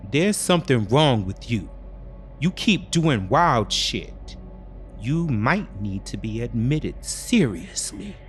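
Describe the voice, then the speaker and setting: A man voicing a smug, controlling boyfriend in an audio drama, sharp, dismissive and slangy. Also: mid-range voice, very animated, takes it slowly, faint music behind. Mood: cold, accusing. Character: smug, controlling, gaslighting boyfriend, casual and impatient.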